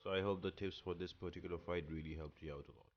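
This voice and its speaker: Low-voiced man giving gaming tips. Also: fairly even intonation, rapid-fire, highs cut off, a little hiss or hum. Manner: explanatory, instructional. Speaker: gaming guide host. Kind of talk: casual walkthrough commentary over gameplay.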